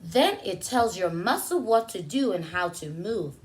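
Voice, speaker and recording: Lady preschool teacher reading a kids book, slow n animated, stops to explain and ask little questions. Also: medium pitch. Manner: instructional, asks questions and pauses for answers. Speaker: preschool teacher hosting story time. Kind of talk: read-aloud story time for young children with teaching asides.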